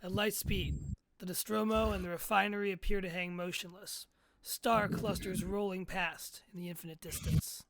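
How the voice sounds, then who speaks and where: A man's voice: sits high, steady tone, normal speed. A man reading screenplay stage directions as a narrator at a table read. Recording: clearly noisy recording with clicks.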